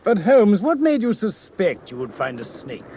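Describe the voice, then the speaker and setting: An actor playing a detective's loyal, earnest gentleman companion in a British radio drama, expressive and genteel, with a British accent. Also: high-pitched, normal speed. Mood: curious. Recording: noisy old radio drama recording.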